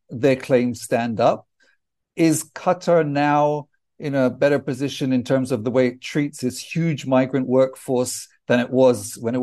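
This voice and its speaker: A man who is a journalist guest on a podcast panel, explaining in a measured, deliberate way. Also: mid-range voice, warm tone.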